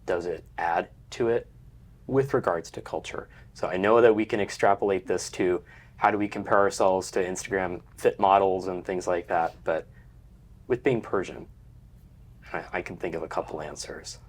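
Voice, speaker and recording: A man hosting a casual student discussion, asking thoughtful questions and letting his sentences trail off softly. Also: low voice, moderate pace. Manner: thoughtful, trails into quiet whispery endings. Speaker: host. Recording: faint background noise.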